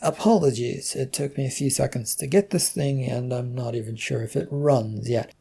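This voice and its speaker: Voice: medium pitch, moderate pace, creaky, dark tone, breathy edge. A storyteller playing a weary, sarcastic male office IT worker with a dry, hesitant manner. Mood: dry, exasperated.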